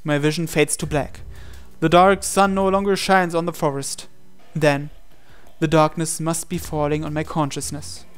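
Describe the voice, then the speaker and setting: A man's voice: a little high, flat delivery, moderate pace, clear, resonant tone, faint music behind. A man reading a visual novel aloud as a game player, in dramatic storytelling narration.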